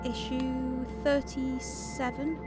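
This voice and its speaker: An actress voicing a woman who reads out catalogue titles in a mystery game, in a measured, deliberate way. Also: high-pitched, little pitch movement. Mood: measured, formal. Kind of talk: scripted game voice-over. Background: game music.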